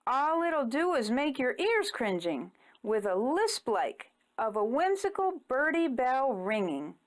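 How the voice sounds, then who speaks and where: A woman reading a rhyming children's story aloud in an expressive, deliberate, theatrical way. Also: high-pitched. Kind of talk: rhyming picture-book read-aloud.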